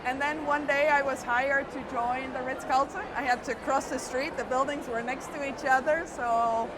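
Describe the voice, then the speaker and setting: A middle-aged woman from Spain, an executive telling her career story in a relaxed interview, speaking fluently with some fillers. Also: high voice, moderate pace. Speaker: hotel company executive. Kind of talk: conversational interview. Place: busy trade show floor. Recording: loud background noise at a trade show.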